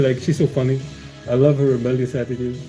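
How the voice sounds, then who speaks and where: A man's voice: mid-range voice, level tone, fast talker, over music, rough recording. A man chatting casually on a livestream, meandering and hesitant, with many fillers and restarts and frequent chuckles. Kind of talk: casual rambling stream chat.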